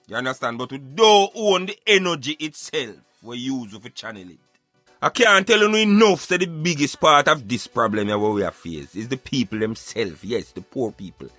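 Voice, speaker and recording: A man's voice: mid-range voice, sharp tone, no room sound. A Jamaican man giving emphatic, opinionated social commentary in Patois, like a street philosopher preaching on a radio show.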